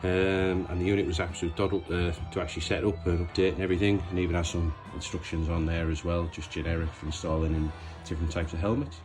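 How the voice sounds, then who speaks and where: A man's voice: deep voice, steady tone, fast, few pauses, over music. A man giving a casual, unscripted how-to demonstration in a matter-of-fact, hesitant way as he works with his hands. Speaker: presenter of a DIY installation tutorial.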